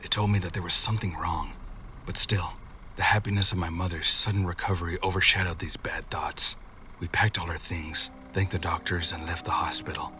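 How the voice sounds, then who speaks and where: Male narrator, low pitch, bright forceful tone, scripted scary bedtime story, soft music behind. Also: steady tone, a little fast, far from the mic.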